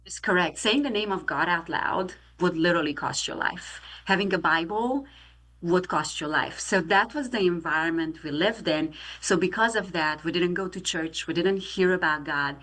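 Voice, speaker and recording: A middle-aged woman from Romania with a Romanian accent, telling her life story as a guest in an earnest, steady way. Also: on the low side, normal speed. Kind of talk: testimony interview.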